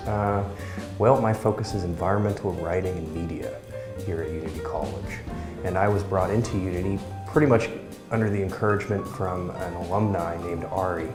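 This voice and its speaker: A soft-spoken young man answering interview questions in a relaxed, casual way. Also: deep voice, a little fast, roomy sound, music in the background. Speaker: student interviewee.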